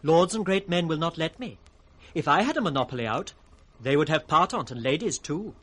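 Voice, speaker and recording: An actor playing a witty, impudent court fool in radio Shakespeare, with a sing-song, riddling delivery. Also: male voice, a little high, expressive, brisk, fairly bright tone, breathy edge. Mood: mocking. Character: witty, mocking court fool, teasing the king. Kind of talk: 1960s British radio Shakespeare acting, with sung rhymes. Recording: vintage 1960s radio drama recording.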